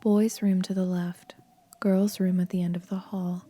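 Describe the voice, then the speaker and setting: A woman narrating a horror video game fan dub in a hushed, breathy, grave and measured way. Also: fairly low pitch, flat delivery.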